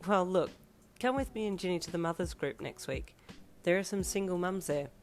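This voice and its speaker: Woman reading a young hero dad, affectionate, teasing, low hoarse voice, faint piano music behind. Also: normal speed, natural voice. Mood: friendly, teasing. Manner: tender with the baby, teasing and upbeat with his friend. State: hoarse, losing her voice. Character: young adult male hero, doting new father.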